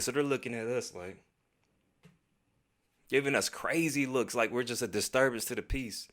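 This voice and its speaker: An American man telling a casual personal story in heavy slang and profanity, acting out bits of dialogue as he goes. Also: mid-range voice. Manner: profane, slangy, animated retelling. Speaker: storytelling vlogger.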